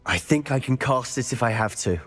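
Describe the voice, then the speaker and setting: A bold young wizard, reverberant. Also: male voice, mid-range voice, lively intonation, brisk, a bit bright. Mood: frustrated, resolute. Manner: intense, decisive. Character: bold, determined, bookish young male wizard student. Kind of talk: scripted game cutscene acting. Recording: long hall reverb under music.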